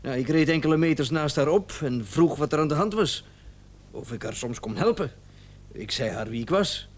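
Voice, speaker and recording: A man's voice: on the high side, animated, moderate pace. An actor playing a flustered, indignant and evasive male doctor in a radio drama, stammering and repeating himself when agitated. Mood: placating, recounting.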